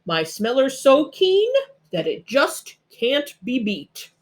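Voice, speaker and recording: Woman putting on a slow, growly, boastful bear. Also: high-pitched, sing-song, voice pushed up, heavily acted. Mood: proud, drawn-out. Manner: slow, emphatic bragging. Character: big, boastful, growling male bear, proud of his nose.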